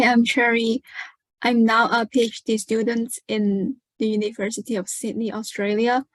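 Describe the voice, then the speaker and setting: Young woman from hong kong, careful and a bit halting, some vocal fry, dry close sound. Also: medium pitch, no room sound, rough recording. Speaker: PhD student panelist. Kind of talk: self-introduction on online panel.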